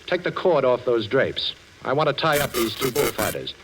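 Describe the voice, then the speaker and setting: Male actor for cocky American radio sleuth, wisecracking, very animated delivery, vintage broadcast with light noise. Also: medium pitch, normal speed, lightly acted. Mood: cocky, commanding. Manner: wisecracking, breezy, self-assured. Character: suave, cocky ex-thief turned amateur detective. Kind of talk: 1940s American radio acting. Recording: old radio recording, faint noise.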